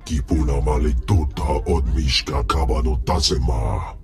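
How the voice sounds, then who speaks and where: An extremely deep male alien voice, slow and weighty. He is an imposing game character speaking an invented tongue, with music playing clearly behind. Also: animated, full character voice. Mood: gruff, emphatic. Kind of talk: video game voice acting.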